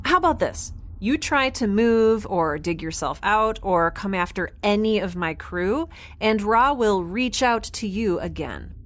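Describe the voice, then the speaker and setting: A woman's voice: mid-range voice, unhurried. An actress playing a quirky, stubborn young woman scientist in a spaceship audio drama, rambling and earnest. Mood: weary, threatening. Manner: rambling, babbling, animated, earnest.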